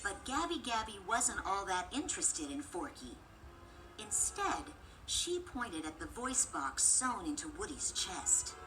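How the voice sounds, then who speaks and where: A woman reading a scripted children's read-along story in an expressive, measured storytelling manner. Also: mid-range voice, low-quality recording. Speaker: storybook narrator. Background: music.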